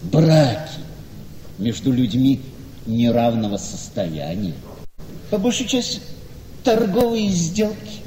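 An actor playing a cynical, mocking gentleman in a radio play, with a deliberate, sardonic, theatrical delivery. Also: medium pitch, sing-song. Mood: mocking, worldly. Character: cynical, mocking gentleman needling a friend's young wife. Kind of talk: Russian classic radio play acting. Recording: reverberant hall-like studio, faint noise.

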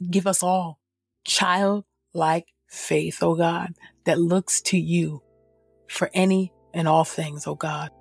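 Low, husky woman praying earnestly. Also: no room sound, clean studio sound. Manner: earnest, fervent, with repeated invocations. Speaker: podcast host. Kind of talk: spontaneous spoken prayer, devotional.

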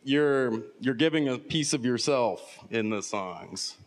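A man's voice: medium pitch, lively intonation, takes it slowly. A man, a musician, answering interview questions onstage hesitantly with many fillers. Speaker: musician guest. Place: museum event room with live audience. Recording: long hall reverb.

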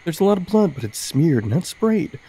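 A man's voice: fairly high pitch, very animated, normal speed, warm tone, breathy edge, pitched above the performer's own voice, full character voice, rough recording. A man reading an eager, curious young investigator's lines from a mystery visual novel, upbeat and inquisitive.

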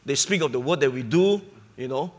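A middle-aged man, a charity leader speaking on a conference panel, in an earnest, measured way with pauses and restarts, on a lapel mic. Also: medium pitch, lively intonation. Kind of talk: panel discussion. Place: conference hall stage.

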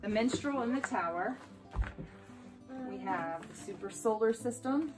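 A woman's voice: on the high side, animated, unhurried, music in the background. A woman in her thirties with an American accent, chatting casually as a homeschooling mother hosting a home vlog. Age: 30s. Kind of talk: casual unboxing vlog chat. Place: small furnished room at home, indoors.

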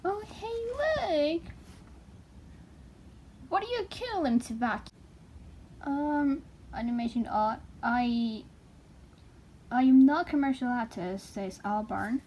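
A young woman casually reads aloud and comments, halting and excitable, swearing freely. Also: high voice, slight background noise. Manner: excitable, foul-mouthed, halting as she translates. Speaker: vlogger. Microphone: handheld phone filming the page.

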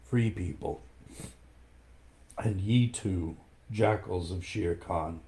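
A man voicing a dignified, weary old wolf leader, speaking slowly and gravely. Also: dark tone, a little hiss or hum. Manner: slow, grave, low.

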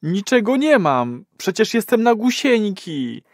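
A man's voice: high voice, very animated, a little slow, dry recording, clean studio sound, heavily acted. An actor playing a nervous, awkward first-time male patient in an audio drama, hesitant and sheepish. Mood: uneasy.

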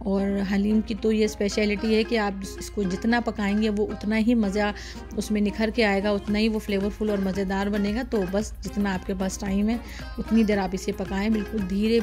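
A woman's voice: mid-range voice, flat delivery, moderate pace, music in the background. Chatty woman narrating a cooking demo. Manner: chatty, explains each step, many fillers. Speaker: cooking channel host. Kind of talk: casual cooking demonstration voice-over.

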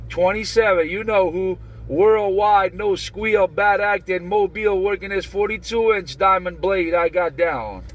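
A man's voice: high-pitched, a bit bright. Slangy CB radio trucker man. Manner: slangy, swearing, trades call signs. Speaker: CB radio operator. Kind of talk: casual CB radio chatter. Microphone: radio transceiver mic. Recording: transmitted over CB radio.

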